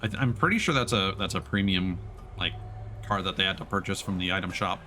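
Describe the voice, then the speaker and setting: A man's voice: deep voice, fast talker, sharp tone, a little hiss or hum. A man streaming a game with casual, informal, sweary live commentary. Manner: informal, sweary, self-critical. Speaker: streamer, VTuber.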